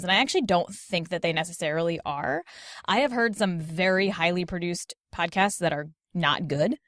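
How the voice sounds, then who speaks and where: Woman, medium pitch, informal podcast talk, chatty, emphatic, dry close recording. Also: fairly bright tone, dry recording. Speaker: podcast host as guest. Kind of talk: casual conversational panel talk. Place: small closet at home.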